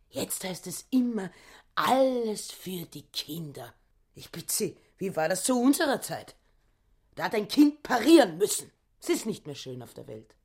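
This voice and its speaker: An actress playing a nosy older female housekeeper who gossips and complains in a radio drama. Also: on the low side.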